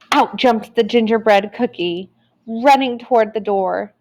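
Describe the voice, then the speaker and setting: A woman storyteller reading a children's tale aloud in an expressive, deliberate way with dramatic emphasis. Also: fairly high pitch.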